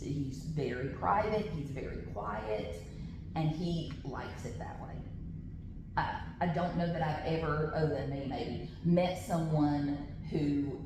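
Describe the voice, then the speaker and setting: Loud-voiced woman trainer in an echoey room. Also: low voice. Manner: chatty, personal asides, frequent restarts. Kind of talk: classroom training talk. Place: large training room with tables. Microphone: camera on the table. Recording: echoey room, background noise.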